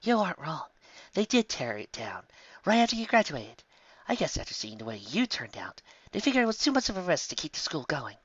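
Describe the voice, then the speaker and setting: A man's voice: a little high, wildly swooping pitch, brisk, fairly bright tone, slightly rough, no echo, dull audio, pitched above the performer's own voice, exaggerated voice. A man reading a fan story aloud, voicing a stern, guilt-tripping ghostly mother who scolds her grown son. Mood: scolding. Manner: strict, scolding.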